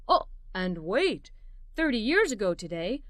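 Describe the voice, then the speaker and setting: A woman voicing a cheerful, encouraging father in a children's storybook, with an animated, expressive delivery. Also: medium pitch, a little slow, no room sound, studio quality, voice pushed down. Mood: amazed. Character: cheerful, encouraging father reading news to his daughter. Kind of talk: scripted children's story read-aloud.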